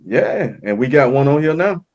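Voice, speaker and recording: A man's voice: fairly high pitch, sing-song, normal speed, a little mellow, muffled recording. Laid-back man hosting a podcast. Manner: laid-back, affirming, frequent "you know what I'm saying". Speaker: podcast host. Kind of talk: casual podcast interview.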